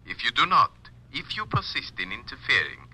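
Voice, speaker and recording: An actor playing a stern Egyptian sheik in an old radio drama, formal and measured, with quiet menace. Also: male voice, mid-range voice, wildly swooping pitch, full character voice, poor audio. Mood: cold menace.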